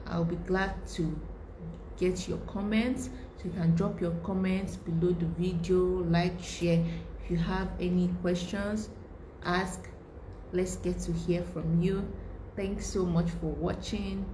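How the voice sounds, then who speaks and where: A woman teaching an online class in a relaxed, chatty way, and her voice sits low and mellow. She often restarts her phrases and checks in with "right?" as she goes. The room around her is echoey. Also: takes it slowly, a little hiss or hum. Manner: conversational, repeats words and restarts, asks "right?". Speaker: online course instructor. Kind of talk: informal lesson to camera.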